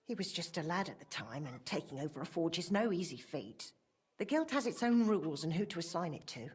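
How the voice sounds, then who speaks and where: Husky old widow. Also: female voice, lowish voice, big pitch swings, fairly quick, dry recording, heavily acted. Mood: wistful. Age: older. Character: kindly, wistful old blacksmith's widow, plain-spoken townswoman. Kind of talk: video game dialogue acting.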